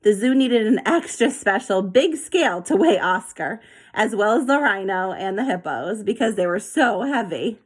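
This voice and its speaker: A woman reading a children's story aloud with an expressive, animated delivery. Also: medium pitch, moderate pace, slightly rough. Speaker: storybook reader.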